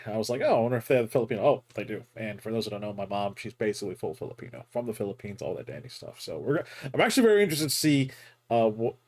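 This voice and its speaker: A young man hosting a reaction video, chatting casually, swearing freely and breaking into loud laughs. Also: on the low side.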